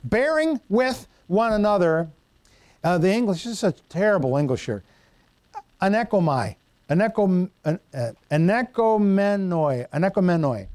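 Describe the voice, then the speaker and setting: A middle-aged male pastor with a slightly higher voice delivers a folksy, conversational sermon. He trips over Greek words and drifts into homely stories. The sound is dry and close. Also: big pitch swings, moderate pace, a little mellow, no room sound.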